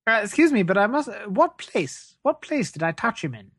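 A man's voice: sits high, big pitch swings, normal speed, fairly bright tone, breathy edge, exaggerated voice. Man playing a shifty, rambling British reverend. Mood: blithe, rambling. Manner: hesitant, evasive, rambling, falsely polite. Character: shifty, menacing, blithely shameless parish reverend. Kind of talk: improvised comedy sketch.

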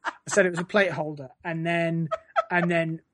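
A man telling comic stories casually on a podcast, in a halting, self-mocking, sweary way. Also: a little high, steady tone, fairly bright tone, dry recording, clean studio sound. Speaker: podcast co-host.

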